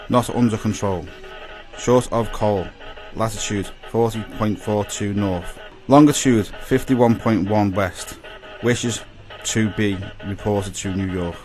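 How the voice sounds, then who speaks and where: Low-voiced ship's wireless operator, played by a man. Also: slow pace, faint music behind. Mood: formal, businesslike. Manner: clipped, formal message reading. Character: male ship's wireless operator sending formal reports. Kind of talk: radio play acting.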